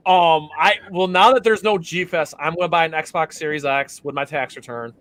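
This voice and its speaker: Bright-voiced, upbeat male podcast host. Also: a little high, moderate pace, dry recording. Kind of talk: casual chat.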